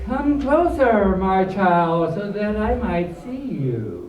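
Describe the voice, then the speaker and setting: A storyteller of unclear sex voicing a sly, self-satisfied wolf in a soft, drawn-out way for a bedtime story. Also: medium pitch, very animated. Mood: coaxing. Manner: soft, slow, drawn-out delivery. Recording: echoey room, recorded from far off.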